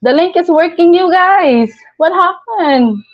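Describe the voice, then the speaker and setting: A woman's voice: extremely high pitch, animated, slow, highs cut off. A woman from the Philippines with a Filipino accent, hosting a casual live stream, chatting and reading viewer comments aloud with frequent laughs.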